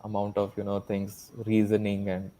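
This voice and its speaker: Male panel guest, lowish flat intonation, thoughtful and unscripted. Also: moderate pace.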